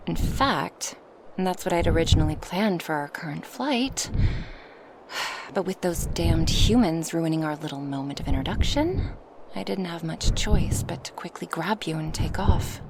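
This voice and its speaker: Woman voicing a slow, creaky-voiced teasing dragoness. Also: lowish voice, expressive, noisy background. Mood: eager, explaining. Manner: slightly formal phrasing, teasing, reassuring. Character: huge proud dragoness, teasing yet protective of her human. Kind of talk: ASMR roleplay monologue addressed to the listener.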